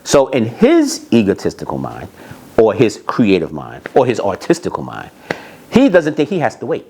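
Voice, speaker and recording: A man telling anecdotes in an animated, emphatic way, repeating phrases and acting out bits of dialogue. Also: on the low side, normal speed, breathy edge. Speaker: storyteller, music industry executive.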